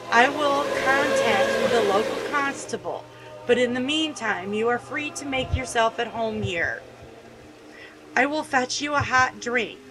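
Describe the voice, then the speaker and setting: Woman reading as a solemn, slow-spoken fatherly priest. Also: fairly high pitch. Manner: measured, gently reassuring. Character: solemn, fatherly older male priest consoling a girl.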